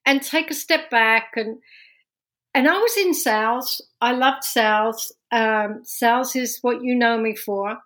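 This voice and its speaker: A woman's voice: fairly high pitch, measured pace, fairly bright tone. A woman from London with a London accent, a guest on a podcast, telling her own story in casual talk with many restarts. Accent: London English. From London, England. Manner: storytelling, with frequent restarts and laughs.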